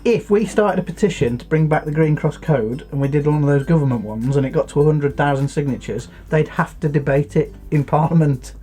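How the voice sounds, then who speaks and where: Middle-aged British man as chat co-host, relaxed and soft-edged, a little high, easy conversational delivery. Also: fairly quick, hardly any pauses, faint music behind. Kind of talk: casual nostalgic two-host chat.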